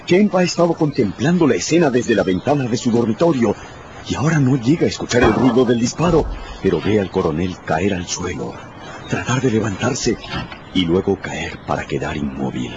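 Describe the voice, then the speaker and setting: A man's voice: on the low side, sing-song, moderate pace, noisy background. A man narrating a scripted story for radio in a dramatic storytelling style. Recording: dull sound with music behind.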